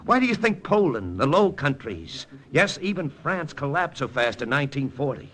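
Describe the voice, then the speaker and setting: An actor playing an earnest, persuasive American wartime intelligence lieutenant in an old radio drama, with an urgent, dramatic delivery. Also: male voice, on the high side, big pitch swings, normal speed, fairly bright tone. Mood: urgent, emphatic. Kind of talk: 1940s-50s radio drama acting with first-person narration. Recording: vintage radio recording with faint music.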